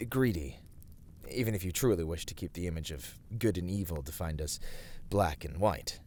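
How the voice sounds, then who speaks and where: A man's voice: deep voice, normal speed, a little mellow, a little hiss or hum, lightly acted. A man playing an earnest, courteous fantasy adventurer in an ASMR roleplay, speaking in formal, thoughtful, slightly hesitant phrases. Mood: pensive, troubled.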